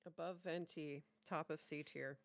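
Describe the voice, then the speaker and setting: A woman's voice: sits low, steady tone, a little slow, vocal fry. A woman co-hosting a reaction show, chatting casually in a playful, exclamatory way.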